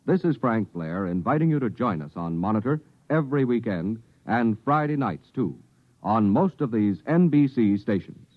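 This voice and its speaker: A man reads a brisk radio promotion from the 1950s. The sound is thin and phone-like, with a dry, close feel. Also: fairly low pitch, animated, no room sound. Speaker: radio host. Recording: tinny old radio broadcast.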